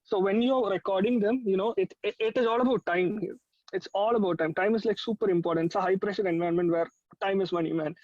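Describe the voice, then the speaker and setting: A young man with a distinctly high voice and an Indian accent chats informally, repeating points for emphasis. The recording is dry and a bit dull, with little room sound. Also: steady tone, fast talker, a little mellow, no room sound. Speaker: music producer, interview guest. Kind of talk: casual chat.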